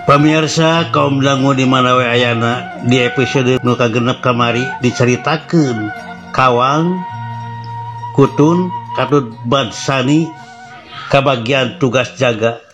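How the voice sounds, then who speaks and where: Unhurried Sundanese male horror storyteller. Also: medium pitch. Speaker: storyteller, channel host. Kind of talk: dramatic horror story narration. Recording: music under the voice. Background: background music.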